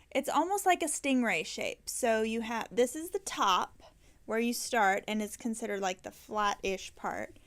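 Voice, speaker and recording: A woman hosting a knitting podcast, chatting casually and rambling, correcting herself often with many ums. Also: fairly high pitch. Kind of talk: casual chat.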